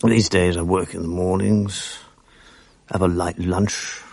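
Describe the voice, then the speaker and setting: A man's voice: deep voice, little pitch movement, slow, creaky, a little mellow, no echo, exaggerated voice. An actor in his fifties with a British accent playing a bereaved, sleepless writer who narrates his days in a dry, self-mocking, gloomy way in a radio drama. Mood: weary. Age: 50s. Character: weary bereaved middle-aged writer, sleepless and bitter.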